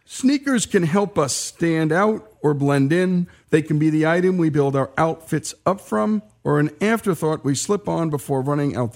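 A man hosting a storytelling radio show, speaking in emphatic, deliberate phrases. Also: on the high side.

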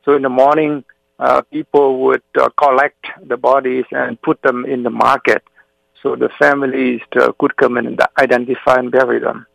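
A middle-aged man from South Vietnam, a native Vietnamese speaker, telling his life story as an interview guest, pausing often with "uh". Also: mid-range voice, flat delivery, vocal fry, fairly bright tone, dry recording, low-quality recording. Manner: frequent "uh" fillers, halting.

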